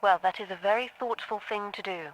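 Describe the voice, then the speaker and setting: A woman playing a calm, reassuring therapist in an audio drama, speaking in a measured, formal and soothing way. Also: medium pitch, lightly acted. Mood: gently probing. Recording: dull lo-fi tape with background noise.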